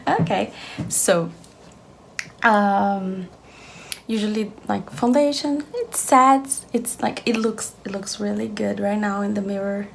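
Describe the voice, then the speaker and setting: A woman's voice: mid-range voice, slow, gravelly, slight background noise. Chatty woman. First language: Portuguese. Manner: chatty, giggly, many fillers and restarts. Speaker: beauty vlogger. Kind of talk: casual makeup tutorial. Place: home, at a lit mirror.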